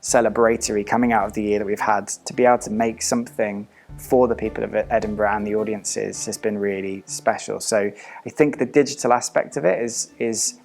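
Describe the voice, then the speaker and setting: A man's voice: fairly low pitch, steady tone, fairly quick, gravelly, a little mellow, soft background music. Man, theatre director explaining his work. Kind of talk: interview, explaining a creative process.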